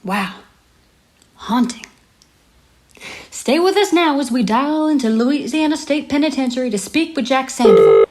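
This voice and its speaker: An actress playing a composed radio host in an audio drama, announcing in a polished, dramatic broadcast style. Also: female voice, sits high, huge pitch swings, a little slow, long pauses, very noisy, full character voice. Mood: composed, intrigued. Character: composed female radio host presenting a crime exclusive. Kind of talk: scripted radio broadcast presenting. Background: music.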